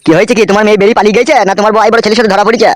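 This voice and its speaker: গ্রামবাংলার টানে একজন পুরুষ কার্টুনে এক লোভী, মুখখারাপ, টিটকিরি-মারা ছোকরা চ্যালার গলা দিচ্ছে।. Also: male voice, sits high, little pitch movement, very fast, no echo, clean studio sound, natural voice. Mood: jeering. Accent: rural West Bengali. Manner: jeering, swaggering. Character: mocking, greedy young henchman, crude prankster. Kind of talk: amateur comedy cartoon dub.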